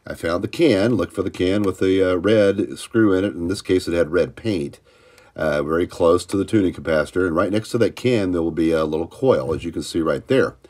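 Man, American accent, deep pitch, informal how-to narration, plain-spoken, folksy, step-by-step. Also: a little fast, a little mellow. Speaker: hobbyist host of electronics tutorial. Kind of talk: informal hands-on tutorial talk. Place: indoors at a home electronics workbench.

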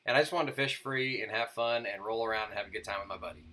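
A man's voice: lowish voice, fast, noisy background. A man in his thirties, a fishing vlogger and father, talking in an upbeat, chatty way about his gear and his day on the lake. He speaks partly on a boat-mounted action camera and partly in voice-over narration. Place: outdoors on a lake boat and in a carport. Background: background music.

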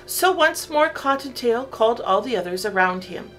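A woman narrating a children's story from a script, in an expressive, deliberate storytelling way. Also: fairly high pitch, soft background music.

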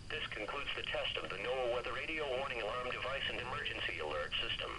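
Through a noisy weather radio speaker comes a synthetic male announcer of medium pitch. He reads scripted bulletins formally, with stilted computerized phrasing. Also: animated, fast. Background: radio static and noise.

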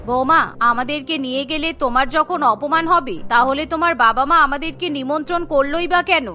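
A woman's voice: high voice, moderate pace, pushed and bright, pitched below the performer's own voice. A woman voicing an older mother-in-law, firm, protective and reproachful, in a cartoon family drama. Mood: hurt, firm.

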